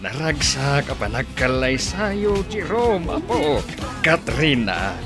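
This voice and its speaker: A man's voice: a little high, big pitch swings, slow, over loud music, full character voice. A man playing an affectionate, doting old grandfather in an audio drama.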